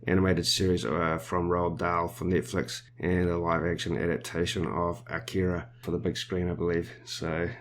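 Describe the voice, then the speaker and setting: A New Zealand man hosting a podcast, explaining film news in a measured way with small restarts. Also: sits low, flat delivery, slight background noise. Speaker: podcast host. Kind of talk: casual podcast discussion.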